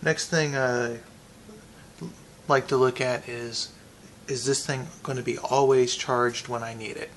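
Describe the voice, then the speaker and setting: A man giving a casual, unscripted product review, thinking aloud in a halting way with pauses. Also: medium pitch, steady tone, a bit bright, a little hiss or hum.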